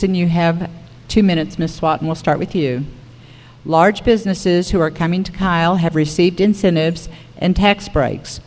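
A man's voice: on the high side, a little mellow. A man moderating a public forum, formally putting questions and calling on speakers. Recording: echoey hall with background noise.

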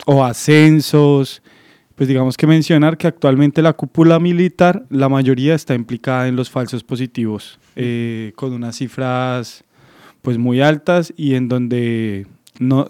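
A young man from Bogotá with a Colombian accent, explaining as an interview guest in a halting way, searching for words. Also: medium pitch, a little mellow, no room sound, studio quality. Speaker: interview guest, student activist. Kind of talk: explanatory radio interview answer.

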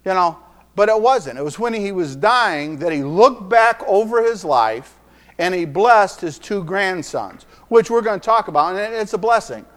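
Earnest preacher man with a higher voice. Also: expressive, moderate pace. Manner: earnest, repeats phrases, swings between soft and emphatic. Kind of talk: sermon. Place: church.

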